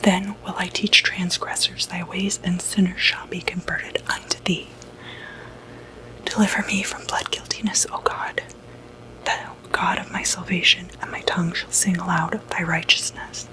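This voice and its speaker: A woman playing a gentle, reassuring doctor who treats demonic possession, in a hushed, soothing ASMR medical roleplay. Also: lowish voice, level tone, low-quality recording. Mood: solemn, prayerful. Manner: hushed, unhurried, hesitant, soothing.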